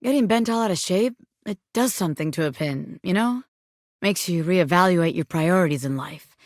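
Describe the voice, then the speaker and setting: A woman's voice: lowish voice, lively intonation, normal speed, no echo, studio quality. A woman voicing a cocky, self-important bobby pin who fancies itself a crime boss in a video game, swaggering, slangy and boastful. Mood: boastful, scheming. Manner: swaggering, slangy, boastful, peppering talk with pet phrases. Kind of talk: video game character voice acting.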